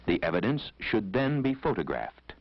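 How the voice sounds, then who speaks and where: A man with an American accent narrating an instructional film in a formal, measured, authoritative way, on a vintage 1970s soundtrack. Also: on the low side, very animated, dry recording, lo-fi sound.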